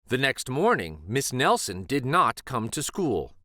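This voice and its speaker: A man reading a children's story aloud as an expressive narrator. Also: mid-range voice, measured pace, no room sound, studio quality.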